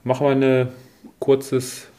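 A man hosting a casual football podcast, chatting in a relaxed way with many fillers. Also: mid-range voice, slow. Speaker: podcast host.

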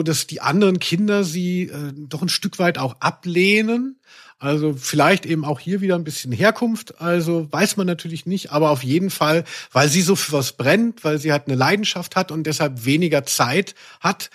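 A man's voice: on the high side, a bit bright. A middle-aged man hosting a casual podcast about radio plays, talking in a rambling, reflective, conversational way. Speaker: podcast host.

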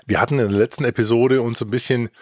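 A man's voice: medium pitch, fairly even intonation, fast talker, no echo. A man co-hosting a podcast in casual chat, informal and dropping in English phrases. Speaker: podcast co-host and reviewer.

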